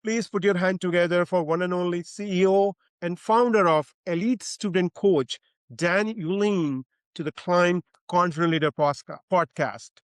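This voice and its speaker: An effusive male podcast host with a slightly high-pitched voice gives a formal welcome. His delivery is full of praise and "uh" fillers. The sound is dry and close, with no room echo. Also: moderate pace, smooth. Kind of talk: podcast interview, reading a guest introduction.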